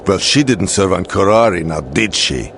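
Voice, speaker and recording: A man's voice: deep voice, lively intonation, normal speed, gravelly, fairly bright tone, a little hiss or hum, exaggerated voice. A man playing a cocky, irreverent soldier in a video game cutscene, talking in a casual, wry way. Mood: sardonic.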